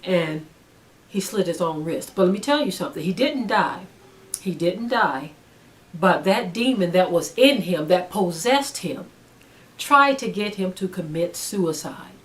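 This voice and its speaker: A woman's voice: on the low side, animated, unhurried. A woman giving an earnest spiritual teaching, emphatic and repeating phrases for stress. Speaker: religious teacher. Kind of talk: spiritual teaching, sermon-like monologue.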